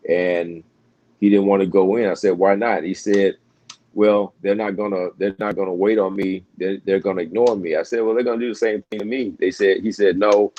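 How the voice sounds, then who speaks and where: An American man, a pastor, talking in a relaxed interview with frequent fillers and restarts. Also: deep voice, muffled recording, a little hiss or hum. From United States. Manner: halting, many restarts and fillers. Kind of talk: casual interview conversation.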